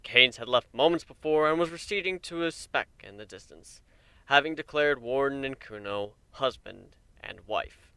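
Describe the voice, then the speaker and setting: Bright, crisp-voiced male storyteller. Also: medium pitch, lively intonation, normal speed, no room sound. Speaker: narrator. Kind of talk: dramatic reading of fan fiction.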